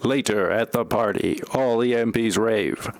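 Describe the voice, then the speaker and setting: A man's voice: mid-range voice, unhurried. A man narrating a parody audio play from a script, with wry asides.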